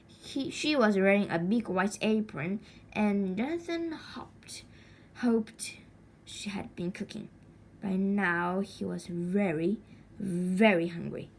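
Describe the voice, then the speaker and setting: A woman reading a children's story aloud expressively, with deliberate dramatic pauses and stretched words. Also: medium pitch. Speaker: storybook reader. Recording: faint background noise.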